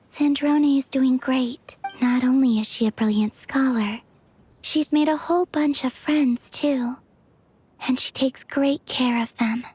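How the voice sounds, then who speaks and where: A woman voicing a gentle, guileless best friend in a video game, soft-spoken and candid. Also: sits high, a little hiss or hum.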